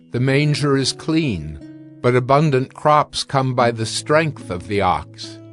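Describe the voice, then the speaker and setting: Slow-paced man solemnly reading scripture. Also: mid-range voice. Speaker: audio Bible reader. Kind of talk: scripted dramatized scripture narration.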